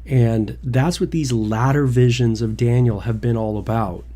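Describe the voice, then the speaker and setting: A man's voice: medium pitch, measured pace, dark tone. A man hosting a casual Bible devotional livestream from home, a little nasal from a cold, rambling with many fillers and restarts. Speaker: devotional host.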